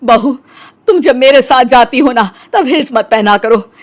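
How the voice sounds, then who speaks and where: A woman's voice: sits high, moderate pace, close to an everyday voice. A woman voicing a short, insecure, complaining middle-aged mother-in-law in a cartoon story. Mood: pleading, upset. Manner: fretful, complaining.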